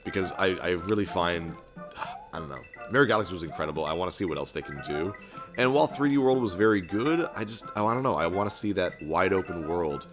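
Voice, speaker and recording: A young man with a very low voice chats loosely while he plays, in a flat, monotone delivery full of ums and false starts. Game music plays clearly under his voice. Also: quick pace. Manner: self-described monotone, rambling with filler and restarts. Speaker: let's play host. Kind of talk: casual gameplay commentary. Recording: game music playing behind voice.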